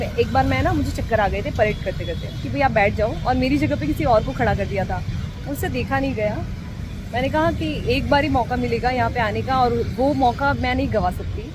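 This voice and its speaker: A woman's voice: fairly high pitch, background noise. A young woman talking to the camera in a casual vlog, telling a story earnestly with encouraging asides. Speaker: vlogger.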